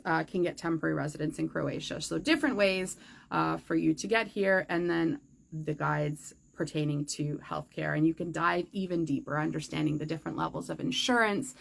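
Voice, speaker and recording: A woman's voice: on the low side, vocal fry. A woman hosting a viewer-question show, chatting casually and upbeat, with frequent fillers. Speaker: host answering viewer questions. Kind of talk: casual chat to camera. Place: outdoor forest gazebo.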